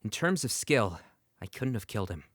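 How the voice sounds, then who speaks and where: A man's voice: fairly low pitch, sing-song, moderate pace, fairly bright tone, no echo, studio quality. A man playing a brooding, haunted young warrior in a fan dub, earnest and intense. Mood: subdued, brooding. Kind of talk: dramatic fan dub acting.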